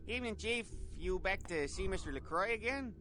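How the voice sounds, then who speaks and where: A man's voice: high voice, lively intonation, brisk, noisy background. A man voicing an eager, deferential security guard in a video game, rambling in a folksy, hesitant way. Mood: eager, chatty. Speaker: lobby guard. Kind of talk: video game character acting.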